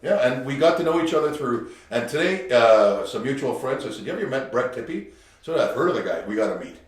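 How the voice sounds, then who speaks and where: A brash man who hosts the show talks quickly and swears freely as he tells animated stories. His voice sits at a middling pitch, and the room around him rings with a heavy echo. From Canada. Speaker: podcast host. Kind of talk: casual interview chat. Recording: very echoey room.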